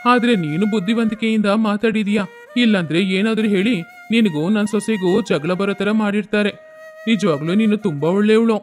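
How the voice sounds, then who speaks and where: A kindly middle-aged father-in-law acted by a man in a moral storybook tale. His voice is high for a man, coaxing and advising, over faint music. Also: normal speed, a little mellow, close to an everyday voice. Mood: approving.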